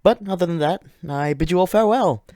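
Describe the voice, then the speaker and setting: A man playing a suave, high-ranking demon in an audio drama, theatrical, courteous and faintly condescending. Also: brisk, dark tone, no echo, studio quality, close to an everyday voice. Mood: flustered, courteous. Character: suave male demon of high rank, flamboyant, courteous, menacing. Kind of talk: fiction podcast audio drama acting.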